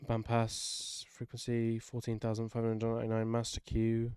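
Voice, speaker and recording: A man thinking aloud in a casual, self-deprecating, sweary way as he works through a music software session. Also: mid-range voice, completely flat delivery, normal speed, smooth, no echo, studio quality.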